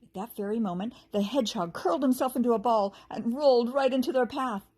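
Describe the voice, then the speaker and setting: A woman teacher reading a children's story aloud with expressive narration and a slightly husky voice. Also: a little high, fast talker.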